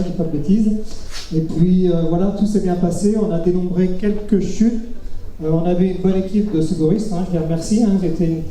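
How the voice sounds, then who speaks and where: A man giving an unprepared thank-you speech at an event as a club official, halting and searching for words. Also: sits high, warm tone. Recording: echoey, distant event sound, faint noise.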